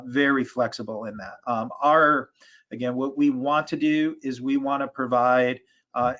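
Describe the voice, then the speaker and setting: A man answering questions as an association official in an online meeting, speaking in a halting, measured way with false starts. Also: medium pitch, fairly bright tone. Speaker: education and school sports association official. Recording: dull, lo-fi video call audio.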